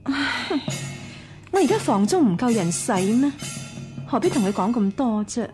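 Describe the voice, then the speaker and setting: An actress playing a weary, aggrieved senior wife of a household in a radio drama. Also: female voice, a little high, sing-song, moderate pace, music in the background. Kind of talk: Cantonese radio drama acting.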